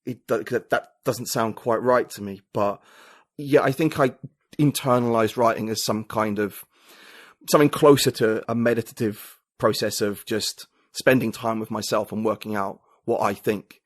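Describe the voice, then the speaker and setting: A man's voice: lowish voice, steady tone, moderate pace, no room sound, studio quality. A man, a writer and podcaster, talking as an interview guest, reflective and thinking aloud with many fillers. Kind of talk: casual interview conversation.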